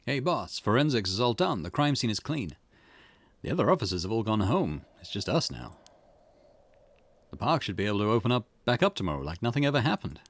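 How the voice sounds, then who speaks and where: A man's voice: fairly low pitch, big pitch swings, fairly quick, no room sound. A man voicing a breezy, enthusiastic junior police detective in a visual novel, chatty and chirpy. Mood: eager, chatty.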